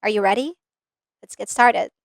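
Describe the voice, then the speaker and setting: A woman's voice: mid-range voice, normal speed, vocal fry, dry recording, studio quality. A woman from the Philippines with a Filipino accent, giving a scripted business lesson in a direct way and stopping to ask the viewer checking questions. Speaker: business coach. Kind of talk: scripted instructional video.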